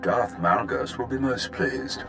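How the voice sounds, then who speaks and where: An actor playing an arrogant, menacing male Sith villain in a video game, taunting with measured contempt. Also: mid-range voice, creaky. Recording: music under the voice.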